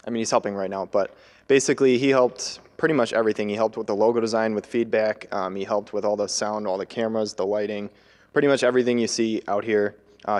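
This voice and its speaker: A young man on the student team, thanking a helper in a relaxed, rambling way. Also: on the low side, long reverb tail. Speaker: student crew member. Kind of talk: thank-you speech.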